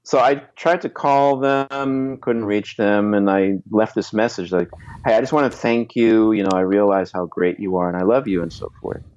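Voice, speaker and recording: Man in his fifties, reflective, laughing often, low voice, muffled dull audio. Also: fairly even intonation, normal speed, a little mellow, clear, resonant tone. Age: 50s. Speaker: guest musician. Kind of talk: candid interview storytelling.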